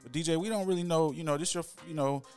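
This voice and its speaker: Male podcast host, quick slangy delivery, conversational and probing, faint music underneath. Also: a little high, darkish tone. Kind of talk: casual chat.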